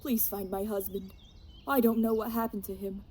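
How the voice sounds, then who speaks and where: A woman's voice: mid-range voice, steady tone, normal speed, darkish tone, slightly rough, lightly acted. A woman acting a worried wife and mother in an audio drama, pleading with restraint.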